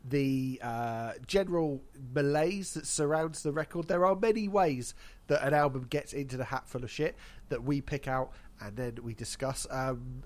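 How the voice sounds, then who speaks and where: A man's voice: medium pitch, normal speed. A man hosting a casual podcast, congested from hay fever, wry and chatty. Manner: wry, chatty, laughs at own jokes. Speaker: podcast host.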